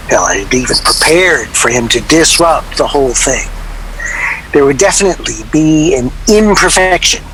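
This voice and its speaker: Radio drama, male actor as wry sound recordist: articulate, deadpan, conversational storyteller with a bright medium-pitched voice. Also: slight background noise, natural voice, low-quality recording. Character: wry male sound recordist recalling an obsessive artist's failed project. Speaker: interviewee.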